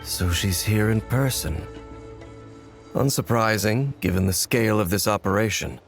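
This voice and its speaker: Grave, low-voiced brooding warrior, played by a man. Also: lightly acted. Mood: serious, pondering. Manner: measured, solemn, formal. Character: grave, brooding male warrior, coldly determined. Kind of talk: English video game voice acting. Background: background music.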